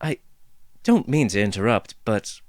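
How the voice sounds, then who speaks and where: A courteous young woman who answers in a quick, flustered rush, as a man reads her. Her voice moves around with lively inflection. The sound is dry and close. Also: on the low side, no echo. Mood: nervous, polite. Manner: polite, flustered. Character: polite nervous young woman.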